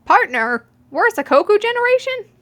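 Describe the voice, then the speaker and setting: Fuming young male mafioso done by a woman, shrill pitch, spluttering, yelling, hot-tempered, swooping, fan-fiction audio. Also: sing-song, a little fast, exaggerated voice. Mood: shocked.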